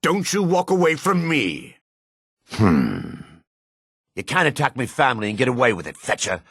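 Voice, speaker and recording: An actor playing a gruff, haughty dark elf rogue in a video game, with a gravelly, raspy voice and a curt, disdainful, suspicious way of talking. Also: male voice, mid-range voice, wildly swooping pitch, normal speed, no room sound, studio quality, full character voice. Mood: angry, aggressive. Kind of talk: video game character dialogue.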